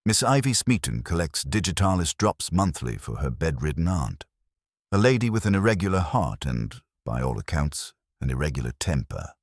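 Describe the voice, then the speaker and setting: A man voicing a careful village chemist who talks in a measured, formal, faintly gossipy way. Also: a bass voice, sing-song, no echo, clean studio sound, heavily acted. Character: careful, discreet male village chemist with old-fashioned courtesy.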